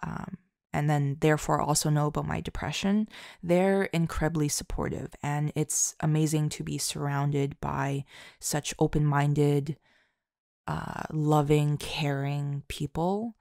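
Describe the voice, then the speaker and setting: A woman speaks slowly in a somewhat low voice with plenty of vocal fry. She chats informally and sincerely to her audience as an audio creator, often halting and poking fun at herself. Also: steady tone, darkish tone, dry recording, studio quality. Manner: halting, self-deprecating, informal. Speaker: audio roleplay and ASMR creator. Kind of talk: casual, heartfelt solo chat to listeners.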